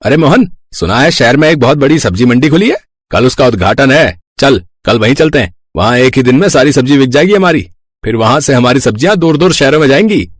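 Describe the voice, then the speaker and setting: A man's voice: medium pitch, expressive, brisk, no room sound, studio quality, close to an everyday voice. A man voicing an easygoing young village friend in a cartoon story, colloquial and given to exclaiming. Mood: excited.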